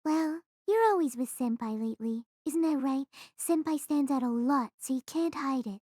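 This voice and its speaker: A woman's voice: high-pitched, normal speed, dark tone, dry recording, clean studio sound, natural voice. A woman voicing a nosy, gossiping schoolgirl who pries at a classmate with teasing questions. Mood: teasing, prying.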